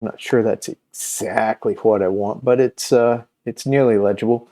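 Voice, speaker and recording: A middle-aged man talking casually to viewers as a video host, unscripted, with a halting, meandering delivery and long hesitations. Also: fairly low pitch, fairly even intonation, dark tone. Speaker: host of a comics recommendation video channel.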